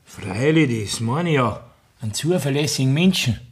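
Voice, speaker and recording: Dutiful Bavarian farmhand, placating, mid-pitched, radio play. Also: male voice, animated, moderate pace, slightly rough. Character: earnest young farmhand, dutiful. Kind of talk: 1960s radio play acting.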